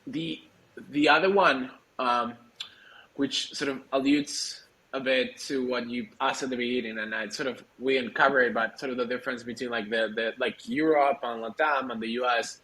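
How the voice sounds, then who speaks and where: A man's voice: mid-range voice, fairly even intonation, normal speed, bright, forceful tone, low-quality recording. A man from Argentina, answering interview questions conversationally, searching for words as he explains. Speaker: startup executive, interview guest.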